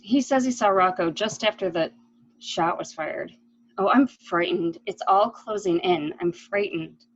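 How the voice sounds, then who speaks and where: A woman's voice: medium pitch, a little slow, dull audio, slight background noise, natural voice. A woman playing an anxious, pleading lady, earnest and melodramatic, in an amateur murder mystery play. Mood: frightened. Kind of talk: amateur radio-play acting.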